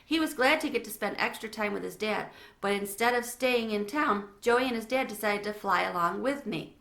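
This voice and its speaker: A woman's voice: mid-range voice, lively intonation, moderate pace, vocal fry, a bit bright. A woman reading a children's storybook aloud as narrator. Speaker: read-aloud reader. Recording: echoey room.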